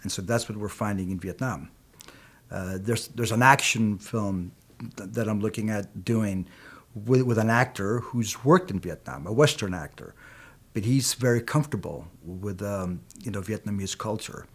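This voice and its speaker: A middle-aged man from Peru whose first language is Spanish, answering interview questions as a film producer and distributor in a measured, reflective way, often adding "you know". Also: fairly low pitch, breathy edge.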